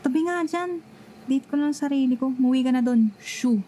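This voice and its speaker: A woman's voice: high-pitched, moderate pace, mellow, a little hiss or hum, natural voice. A young woman with a Filipino accent playing a jealous, sulky best friend who fakes indifference in an ASMR roleplay. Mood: dismissive, jealous. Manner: dramatic, sulky, sarcastic. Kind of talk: ASMR roleplay, one-sided dialogue.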